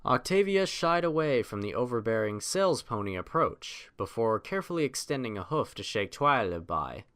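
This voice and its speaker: Animated male story narrator. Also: medium pitch, normal speed, few pauses, smooth.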